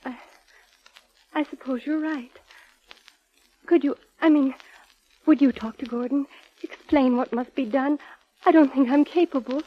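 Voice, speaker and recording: A woman's voice: sits high, fairly even intonation, unhurried, long pauses. An actress playing a mousy, anxious young woman, hesitant and pleading, in 1940s radio drama style. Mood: distressed, pleading. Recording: muffled vintage radio recording.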